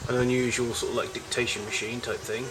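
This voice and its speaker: A man giving an informal, unscripted show-and-tell as a collector of old machines, in a halting, rambling way with frequent self-corrections. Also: medium pitch, flat delivery, over music, low-quality recording. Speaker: collector showing vintage tape recorders.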